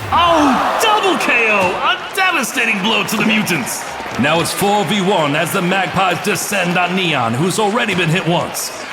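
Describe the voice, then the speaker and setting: Excitable high-pitched sports announcer. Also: male voice, a little slow, over a noisy crowd, very noisy. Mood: excited, shouting. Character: excitable male arena play-by-play announcer. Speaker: sports commentator. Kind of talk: play-by-play sports commentary.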